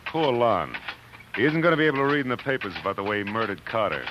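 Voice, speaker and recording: A man's voice: fairly low pitch, sing-song, fast. An actor playing a swaggering, charming frontier newspaperman and gunslinger husband in an old-time radio western, cocky and theatrical. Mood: grimly matter-of-fact. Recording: dull, slightly noisy vintage radio broadcast.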